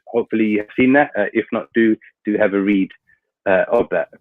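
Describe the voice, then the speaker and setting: A man's voice: fairly low pitch, a little fast, slight background noise. Man on a thin call line. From London, England. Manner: hesitant, many fillers and restarts. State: hay fever, may sneeze. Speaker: guest speaker from an international organisation. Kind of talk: webinar opening remarks. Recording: thin phone-like webinar audio, dry.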